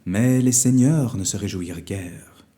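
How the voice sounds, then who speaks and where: Male storyteller for children's legends: low-pitched, slow, measured and theatrical delivery. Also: dark tone. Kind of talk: scripted fairy-tale narration for children.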